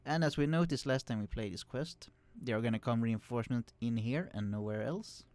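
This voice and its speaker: A man casually commenting on a strategy game he is playing, explaining units with many fillers and restarts, his voice kept quiet and turned down in the recording. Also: mid-range voice, brisk. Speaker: let's play host. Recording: quiet voice, turned down to hide computer hum.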